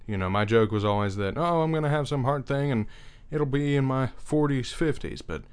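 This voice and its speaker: A young man talking earnestly in a reflective personal monologue, unhurried and thinking aloud as he goes. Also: mid-range voice, lively intonation, clear, resonant tone. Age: young adult.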